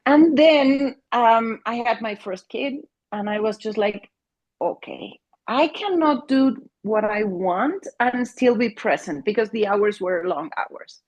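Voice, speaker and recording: A middle-aged woman with a Mexican Spanish accent answers interview questions, earnest and warmly searching for her words. Her voice sits a bit high and sounds husky and breathy. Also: lively intonation, slow, dull audio. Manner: earnest, many restarts and fillers. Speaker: family therapist. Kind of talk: interview conversation.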